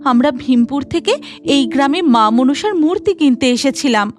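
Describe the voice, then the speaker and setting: Cartoon village wife, done by a woman narrator: very high, devout, emotional, exclaiming, music underneath. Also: normal speed. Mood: earnest. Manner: expressive, exclaiming.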